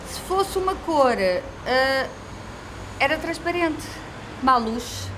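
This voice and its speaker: A woman's voice: high voice, very animated, slow pace. A woman giving a reflective interview answer as a language specialist. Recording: clear ambient background noise.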